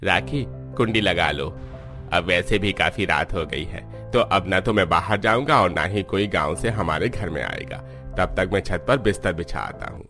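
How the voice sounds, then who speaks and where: A cautious village husband from a cartoon, played by a man, with a very deep voice. His tone is bright and forceful, over background music. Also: animated, normal speed, full character voice. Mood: cautious, instructing. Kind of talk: cartoon story dialogue.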